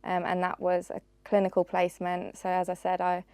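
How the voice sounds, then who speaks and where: A woman's voice: lowish voice, monotone, brisk, darkish tone, no echo. A woman, a former master's student now a research assistant, thoughtfully explaining her career choice. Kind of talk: recorded career testimonial.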